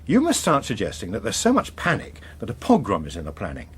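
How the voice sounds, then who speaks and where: A scheming senior politician in a political drama. He is polished and unflappable, a man who persuades with condescension. The voice is husky and very varied in melody. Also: fairly low pitch, wildly swooping pitch, fast, heavily acted. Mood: conspiratorial, assured. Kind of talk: British political drama acting.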